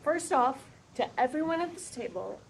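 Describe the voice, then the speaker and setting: A woman's voice: sits high, lively intonation, moderate pace, gravelly, a little hiss or hum, poor audio. An actress playing an effusive, sentimental woman among close friends, with an emotional, heartfelt delivery at a script read-through.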